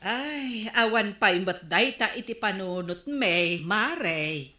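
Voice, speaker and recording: A woman's voice: mid-range voice, animated, takes it slowly, bright, forceful tone. A woman playing a plain-spoken village wife with an Ilocano accent in a radio comedy drama. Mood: conversational. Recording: roomy, echoing studio sound.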